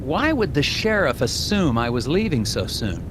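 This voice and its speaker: An actor playing an earnest, puzzled FBI agent in an animated game, hesitant yet matter-of-fact. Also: male voice, mid-range voice, moderate pace, noisy background, natural voice. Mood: suspicious, determined.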